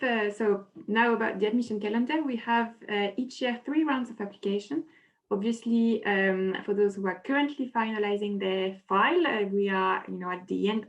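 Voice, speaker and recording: A woman's voice: medium pitch, lo-fi sound. A woman from the admissions staff explaining application rounds and deadlines on a video call, informal and friendly, with fillers.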